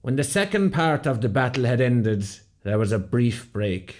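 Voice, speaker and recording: An actor playing a proud rebel veteran, giving measured, scripted storytelling narration in a radio play. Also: male voice, mid-range voice, very animated, a little mellow, close to an everyday voice. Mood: proud reflective. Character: proud Irish rebel veteran recounting a battle.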